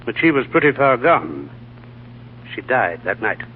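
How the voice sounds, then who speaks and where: Solicitous gentleman writer, lively intonation. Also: medium pitch, measured pace, slight background noise. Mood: earnest, gentle. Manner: polite, solicitous, clearly enunciated. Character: concerned, sensible gentleman writer looking after his sick friend. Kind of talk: 1940s radio acting. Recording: tinny old radio broadcast, faint music.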